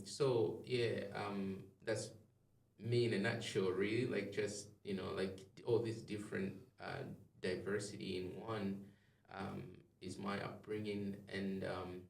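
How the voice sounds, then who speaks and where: A man's voice: fairly low pitch, creaky, a little mellow, recorded from a distance, slight background noise. A man from Zambia, a podcast guest chatting casually and rambling with many ums and restarts. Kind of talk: casual interview chat. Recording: echoey room, off-mic.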